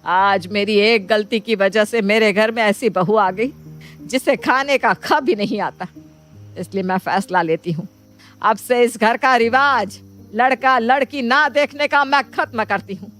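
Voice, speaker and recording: A woman's voice: a little high, a little slow, a bit bright. A woman voicing an emphatic, theatrical middle-aged Indian mother-in-law in a Hindi cartoon moral story. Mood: scolding, exasperated. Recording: music bed behind the voice.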